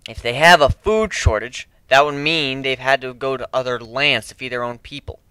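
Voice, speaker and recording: A man's voice: medium pitch, normal speed, bright, forceful tone, clear, resonant tone, dry recording, lightly acted. A man playing a confident, commanding male pirate crew leader in a fantasy story reading, assertive and reasoning.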